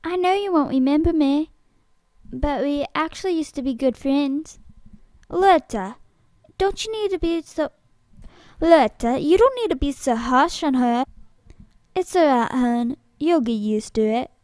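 A woman acting a gentle, friendly young woman in an amateur audition for a drama series, soothing and kindly. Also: high voice, measured pace, no echo, studio quality.

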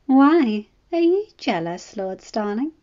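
A woman voicing a pregnant young noblewoman, sharp-tongued and fierce, with a high, heated scolding manner, read as a storybook. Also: very animated, takes it slowly. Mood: teasing, grumbling. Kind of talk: storybook reading. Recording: slightly dull lo-fi recording.

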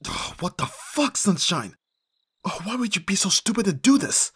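A man's voice: sits high, wildly swooping pitch, normal speed, slight background noise, full character voice. A man playing an abrasive but devoted bodyguard in an audio roleplay, intense and given to sighing and swearing. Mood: panicked, self-talk. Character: abrasive male bodyguard, desperate and devoted to his client.

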